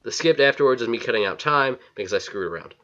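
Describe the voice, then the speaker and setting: A man's voice: mid-range voice, animated, fast talker, pushed and bright. A man giving step-by-step instructions as the narrator of a video game walkthrough. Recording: slightly dull, lo-fi voice-over.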